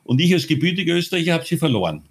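A man from Austria, telling his own story in an interview, halting often with fillers and restarts. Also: fairly high pitch, very animated, slightly rough.

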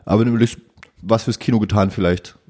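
A man's voice: fairly low pitch, fairly even intonation, very fast, darkish tone. A man co-hosting a podcast, chatting casually and joking brashly, with frequent swearing. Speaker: podcast co-host. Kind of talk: casual chat.

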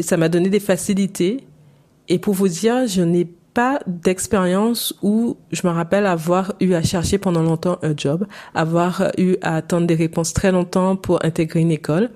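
Lady podcasting solo, lowish mellow voice, chatty with lots of ums. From Saint-Martin, Caribbean. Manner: chatty, personal, many fillers. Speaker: podcast host.

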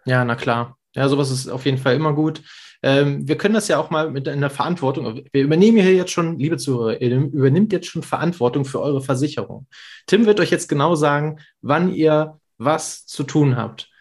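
A man's voice: a little high, a little fast, darkish tone. A male podcast host who talks casually and asks questions by walking through example scenarios.

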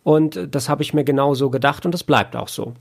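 A man's voice: fairly high pitch, brisk, gravelly. A man speaking as a guest in a casual interview, explaining things in a rambling, conversational way with many filler phrases. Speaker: interview guest, audio drama producer and author.

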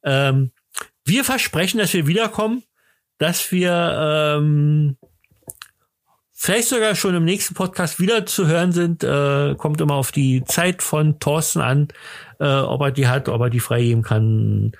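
A man's voice: on the high side, no echo, clean studio sound. A man, perhaps middle-aged, hosting a casual podcast chat in a hesitant, rambling, tongue-in-cheek way. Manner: hesitant, rambling, halting delivery. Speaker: podcast host.

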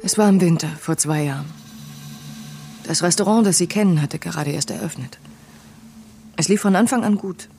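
A woman's voice: fairly low pitch, normal speed, creaky, pauses often, over music. Composed, weary waitress telling her story. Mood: composed, bitter. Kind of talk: audio drama narration and dialogue.

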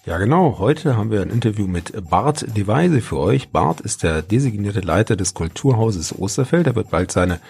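A man's voice: lowish voice, animated, normal speed, gravelly, few pauses, a little mellow, slightly rough. A man co-hosting a local culture podcast, announcing the upcoming interview. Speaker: podcast co-host. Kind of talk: scripted show presenting.

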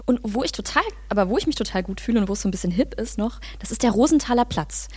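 A woman's voice: on the high side, lively intonation, very fast, a little mellow, husky. Woman chatting casually in an interview. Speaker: interview guest. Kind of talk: casual conversation.

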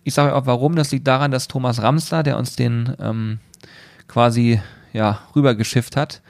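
Man, mid-pitched voice, very dry close sound, easygoing podcast chatter. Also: moderate pace, gravelly, dry recording. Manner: relaxed, rambling, enthusiastic about grilling gear. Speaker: podcast co-host. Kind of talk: casual podcast chat.

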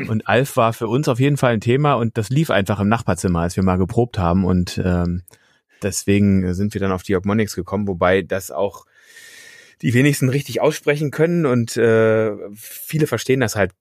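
A man in his thirties from Germany, interviewing a fellow musician in a relaxed, rambling way with lots of fillers. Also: fairly low pitch, a little fast, no echo, clean studio sound. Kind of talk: casual interview chat.

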